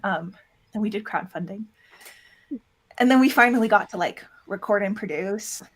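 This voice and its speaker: A woman speaks as a guest in a relaxed interview. Her voice sits in a middle register, and she talks in a candid, informal way with plenty of "like" along the way. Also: mid-range voice, moderate pace, husky. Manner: candid, informal, heavy use of "like". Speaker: interview guest, audio drama producer and voice actor. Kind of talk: casual interview chat.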